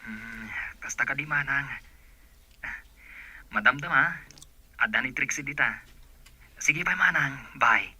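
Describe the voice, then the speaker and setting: A man's voice: mid-range voice, takes it slowly, pauses often, sharp tone, breathy edge, no echo, exaggerated voice. A man playing an eager, cheerful young grandson in an Ilocano comedy radio drama, with an Ilocano accent. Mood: brisk, coaxing.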